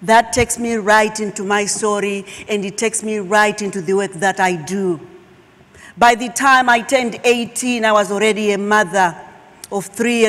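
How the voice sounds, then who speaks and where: Slow, emphatic woman on stage. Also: mid-range voice, fairly bright tone. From Zimbabwe. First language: Shona. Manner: slow, emphatic, dramatic pauses. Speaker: keynote speaker. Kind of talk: personal storytelling talk. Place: large auditorium stage. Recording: long hall reverb.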